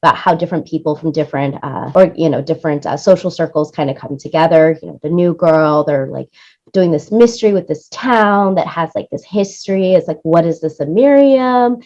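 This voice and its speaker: A woman's voice: low-pitched, fairly quick, warm tone, highs cut off. A woman talking conversationally as an interview guest, explaining things in a chatty way and checking in with the listener as she goes. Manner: chatty, explanatory, often tags points with "right?". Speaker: interview guest, music supervisor and producer.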